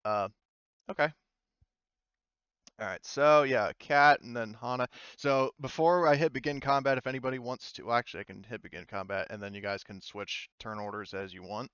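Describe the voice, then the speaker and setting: A man's voice: medium pitch, moderate pace, a bit bright, clear, resonant tone, no echo, highs cut off. A man acting as game master for an online tabletop game, speaking informally with some swearing.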